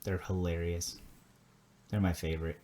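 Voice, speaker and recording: This is a man with a strong Minnesota accent, chatting on a podcast. His voice sits fairly low, and his stories are relaxed and rambling, full of false starts. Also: normal speed, a little hiss or hum. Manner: relaxed, rambling storyteller with many restarts. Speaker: podcast co-host. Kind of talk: casual chat.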